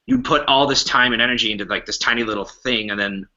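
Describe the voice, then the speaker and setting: A man's voice: medium pitch, fast talker, bright, forceful tone. A man chatting casually as a podcast guest who organizes festivals. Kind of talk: casual chat. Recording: dull lo-fi recording.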